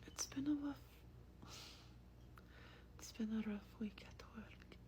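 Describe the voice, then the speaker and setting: A woman playing an affectionate vampire in a soft-spoken ASMR roleplay, with a breathy whisper and a tender, unhurried, intimate manner. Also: a little high. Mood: tender, soothing. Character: affectionate female vampire soothing her human darling.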